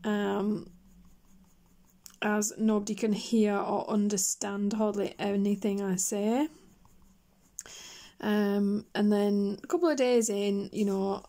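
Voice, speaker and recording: A woman chatting casually on her colouring channel, her voice hoarse and croaky after a chest infection, rambling with fillers and coughs. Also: mid-range voice, little pitch movement, takes it slowly, pauses often, mellow. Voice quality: husky. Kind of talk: casual chat while colouring.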